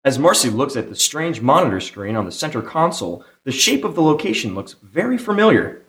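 A man's voice: mid-range voice, very animated, a little fast, a bit bright. A man narrating dramatically in the style of old-time radio serials. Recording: roomy live stage sound with music.

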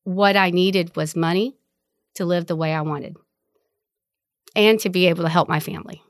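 A woman's voice: fairly low pitch, steady tone, normal speed, no echo, studio quality. A woman in her forties from Vietnam, candidly telling her life story in an interview and laughing easily. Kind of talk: oral history interview.

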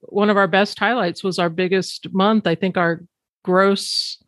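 A middle-aged woman hosts a relaxed podcast conversation, trading memories with her business partner and slipping laughs into her sentences. Her voice is recorded close and dry, with no room sound around it. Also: medium pitch, unhurried, no echo. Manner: laughs often while talking, loose and chatty. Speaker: podcast host. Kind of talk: casual conversation.

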